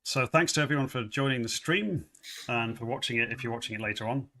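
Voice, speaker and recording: A man's voice: mid-range voice, fast talker, bright, forceful tone. A man hosting a casual livestream chat, talking loosely with many fillers and repeated words. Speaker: livestream host.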